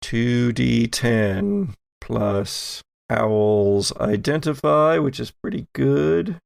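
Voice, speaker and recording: A man running a tabletop horror game as game master, narrating in a deliberate way with pauses. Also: mid-range voice, lively intonation, creaky, darkish tone, no room sound, studio quality. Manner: deliberate, pausing. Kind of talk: narrating a tabletop audio drama.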